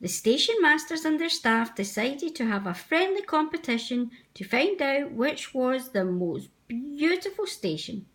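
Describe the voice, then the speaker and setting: Higher-voiced woman reading a children's story. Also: big pitch swings, measured pace, hardly any pauses, fairly bright tone. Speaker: storybook reader. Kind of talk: children's read-aloud narration.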